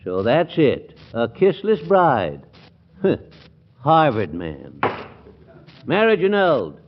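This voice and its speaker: An actor playing an exasperated, sarcastic judge who turns syrupy around pretty women, in 1940s radio comedy style. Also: male voice, mid-range voice, slow, highs cut off, exaggerated voice. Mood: wry, blustering. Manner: blustery and sarcastic, turning syrupy with flattery. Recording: noisy old 1940s radio broadcast.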